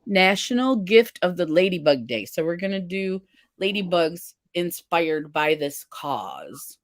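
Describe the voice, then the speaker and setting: A woman's voice: on the low side, sing-song, unhurried, no room sound, clean studio sound. A woman hosting a casual livestream, chatting and reading viewer comments aloud. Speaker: livestream face paint host.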